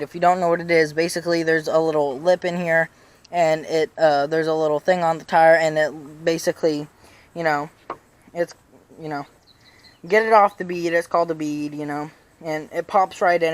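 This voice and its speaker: A young man with a slightly raspy voice giving casual bike tips in a rambling way, full of fillers and restarts. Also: a little high, a bit bright. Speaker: BMX vlogger. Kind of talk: casual how-to vlog. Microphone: chest-mounted action camera.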